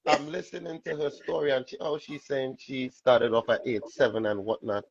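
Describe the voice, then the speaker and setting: A man with a Trinidadian accent and a raspy voice, telling animated stories as a guest in a casual interview. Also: medium pitch, normal speed, muffled recording, a crowd in the background. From Trinidad and Tobago. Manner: animated storytelling, laughs often. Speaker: soca artist guest.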